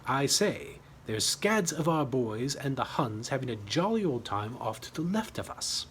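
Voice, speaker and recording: A man's voice: medium pitch, sing-song, unhurried, a bit bright, a little hiss or hum. A man voicing a jaunty British First World War pilot from a storybook, breezy and full of casual bravado. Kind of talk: storybook character voice.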